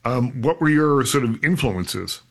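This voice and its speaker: A man's voice: medium pitch, lively intonation, unhurried, a bit bright. A man hosting an interview program, reading polished introductions and asking questions. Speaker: radio show host.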